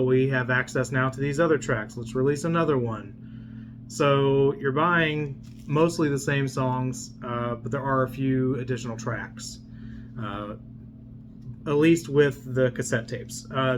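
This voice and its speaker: A man with a medium-pitched voice talks through an unboxing in an unscripted, conversational style. He rambles along casually like a vlogger showing items to viewers. A steady hum runs in the background of the recording. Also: measured pace.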